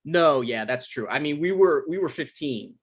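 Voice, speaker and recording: A man's voice: fairly high pitch, lively intonation, moderate pace, breathy edge. Guy playing awkward stammery novelist dude, thirties, defensive and apologetic, comedy script read. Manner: hesitant, stammering. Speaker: actor in a table read. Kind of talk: naturalistic comedic dialogue reading. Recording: online live-stream table read.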